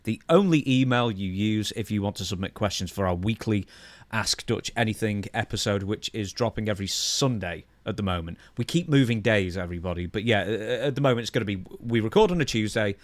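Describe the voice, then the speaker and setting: A man with a fairly low voice hosts a casual talk podcast. He is dry and teasing in his banter, and the recording is close and dry with little room sound. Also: dry recording. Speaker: podcast co-host. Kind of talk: casual chat and show introduction.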